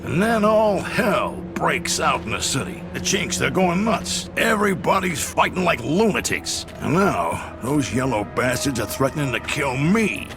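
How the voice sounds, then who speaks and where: Gravelly, fed-up old mafia boss. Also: male voice, a little high, very animated, unhurried, few pauses, music in the background. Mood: angry, threatening. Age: older. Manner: accusatory, commanding, sardonic. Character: angry aging Italian mafia boss, fed up, menacing. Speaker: crime boss. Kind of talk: video game cutscene acting.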